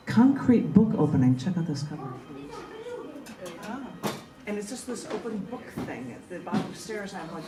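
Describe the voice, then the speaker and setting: A woman poet speaks at a live reading in a roomy, echoing bookstore. Her voice is soft-edged and mellow, with a mid-range pitch. The style is spoken word, delivered by the writer herself. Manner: emphatic, declamatory, repetitive rhythmic delivery. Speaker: poet reading own work. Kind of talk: performed spoken-word poetry reading with casual introduction. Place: large indoor bookstore, live open mic.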